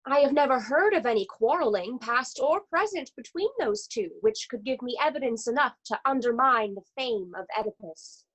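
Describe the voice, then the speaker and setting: A woman's voice: fairly high pitch, expressive, normal speed, few pauses, a bit bright, slightly rough, rough recording. A woman playing a loyal, reasoning member of a Greek tragic chorus, reciting dramatically. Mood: doubtful, solemn.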